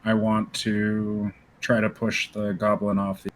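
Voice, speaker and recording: A man's voice: fairly low pitch, monotone, measured pace, slight background noise. A man chatting casually as a player in a tabletop game.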